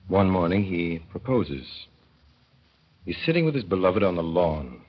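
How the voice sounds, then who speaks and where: Deep-voiced man narrating old radio drama. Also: sing-song. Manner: measured, wry storytelling with deliberate pauses. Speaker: narrator and lead performer. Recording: dull 1930s radio broadcast.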